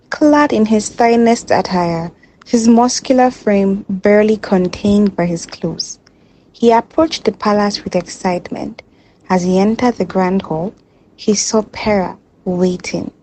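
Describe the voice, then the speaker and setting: A woman storyteller reading a scripted folktale in a dramatic, measured way. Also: mid-range voice, a little mellow, poor audio.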